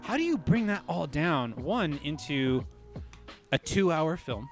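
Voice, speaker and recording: A man's voice: on the high side, very animated, measured pace, soft background music. A man co-hosting a casual podcast discussion, thinking aloud with frequent restarts and asides like "you know what I mean". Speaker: podcast co-host.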